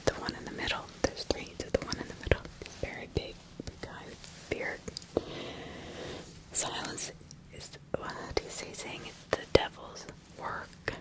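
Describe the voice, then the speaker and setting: A woman voicing a frightened poor farmer accused of witchcraft in an ASMR roleplay, in a breathy whisper, halting and repeating anxious questions. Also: close to an everyday voice. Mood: anxious, bewildered.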